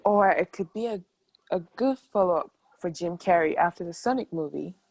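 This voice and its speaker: A woman's voice: on the low side, moderate pace, a little mellow, dry recording. A woman playing an upbeat, pushy film producer in a table read. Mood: eager.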